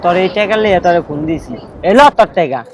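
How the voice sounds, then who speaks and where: Man playing an anxious, high-voiced, fast-talking young Bangladeshi. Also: animated, very noisy. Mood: angry reproach. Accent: Bangladeshi Bengali. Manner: colloquial, urgent. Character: earnest, anxious young man seeking blood for a neighbour. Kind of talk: amateur short drama dialogue. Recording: background music over dialogue.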